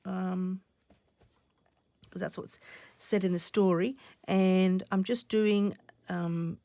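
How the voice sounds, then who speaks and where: Croaky woman chatting while she sews, low gravelly voice, mutters half-whispered to herself. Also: fairly even intonation, takes it slowly, dry recording. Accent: Australian. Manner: chatty, thinking aloud, drops to soft half-whispers while stitching. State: croaky from a cough. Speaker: stitching channel host. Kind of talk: casual crafting vlog chat.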